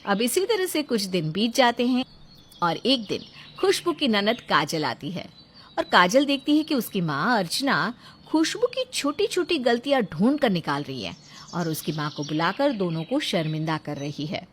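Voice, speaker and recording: A woman's voice: mid-range voice, big pitch swings, normal speed, slight background noise. A woman narrator reading a scripted bedtime moral story.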